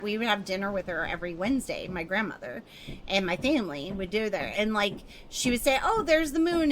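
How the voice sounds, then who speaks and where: A woman's voice: a little high, pushed and bright, slight background noise, rough recording. A young adult woman from the United States hosting a stream, chatting casually and giggling, with many "like" and "you know" fillers. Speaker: stream host. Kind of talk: casual chat with a guest.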